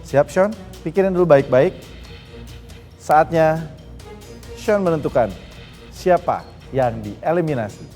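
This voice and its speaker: A man's voice: on the high side, takes it slowly, pauses often, darkish tone, in a large hall, faint music behind. Upbeat male dating-show host. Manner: upbeat, teasing, builds suspense. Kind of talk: lively TV dating-show hosting.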